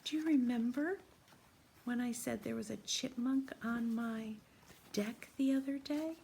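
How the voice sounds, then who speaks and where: Slow, high-voiced woman reading to children. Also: gravelly, mellow, slight background noise. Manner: asks questions and pauses as if children answer. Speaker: teacher reading a picture book to young children. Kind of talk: interactive children's read-aloud. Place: small room at home, indoors.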